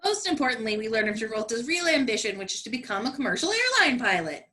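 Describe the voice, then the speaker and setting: A woman's voice: fairly high pitch, very animated, fast, pushed and bright. A woman narrating a comedic scripted radio play with sassy, dramatic delivery.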